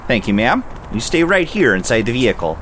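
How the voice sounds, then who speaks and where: A man's voice: medium pitch, sing-song, brisk, noisy background, lightly acted. A man playing a polite, businesslike police officer in an audio drama, speaking in a clipped, official way.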